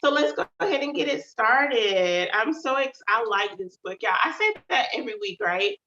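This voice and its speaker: A woman's voice: a little high, sing-song, normal speed, pushed and bright, reverberant, lo-fi sound. A woman hosting her own channel, chatting casually to her audience, calling them "y'all," and sounding slightly nasal from a stuffy nose. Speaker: author and channel host. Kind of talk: casual livestream chat.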